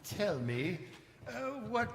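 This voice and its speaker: A man playing an old miser in a theatrical staged audio drama, with a halting, stammering, melodramatic delivery. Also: sits high, very animated, a bit bright, a little hiss or hum, heavily acted. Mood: fearful, solemn. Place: live stage in a library hall. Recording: echoey hall, music behind.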